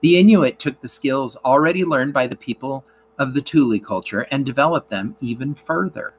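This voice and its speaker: A man's voice: mid-range voice, moderate pace, slightly rough, rough recording. Male narrator, mid-range voice, teacher reading scripted classroom material aloud.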